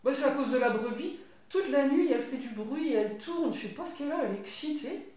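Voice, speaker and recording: A woman's voice: high voice, expressive, a little fast, darkish tone, distant mic. A woman voicing a tired, gloomy female cow who complains wearily. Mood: weary. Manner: sluggish, weary complaining. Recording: very echoey room.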